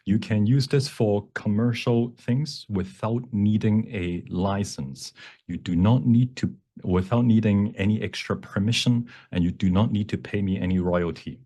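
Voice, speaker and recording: Low-voiced man giving an informal workshop. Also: unhurried, warm tone. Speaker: workshop presenter, font creator. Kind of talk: informal software demonstration, explaining.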